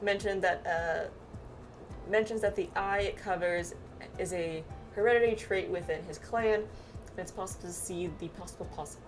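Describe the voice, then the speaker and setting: A woman's voice: lowish voice, moderate pace, faint music behind. A woman chatting casually through a game playthrough and reading bits of game text aloud. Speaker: gamer playing through a story quest with friends.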